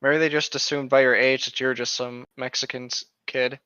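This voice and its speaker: A man's voice: medium pitch, flat delivery, normal speed, pushed and bright, clear, resonant tone, dry recording. A man co-hosting a casual commentary, chatting and reading aloud, on a slightly dull lo-fi microphone. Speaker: co-host.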